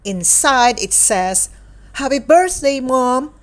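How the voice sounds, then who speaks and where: A woman reading a picture book aloud for young children in a deliberate, playful way, in short separated phrases. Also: sits high, expressive. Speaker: children's storybook reader.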